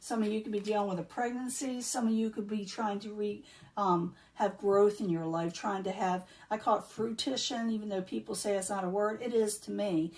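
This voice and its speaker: Woman, tarot reader, hoarse, throat-clearing, chatty, um fillers, distant mic. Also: mid-range voice, steady tone, normal speed, a little mellow. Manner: chatty, repeats herself, many um fillers. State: losing her voice, clearing throat. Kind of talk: casual tarot card reading.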